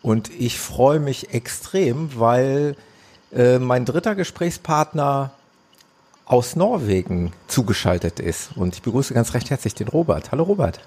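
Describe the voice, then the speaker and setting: A man's voice: mid-range voice, lively intonation, unhurried, darkish tone. A man hosting a podcast, interviewing guests in an enthusiastic, affirming way. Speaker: podcast host. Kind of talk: casual interview.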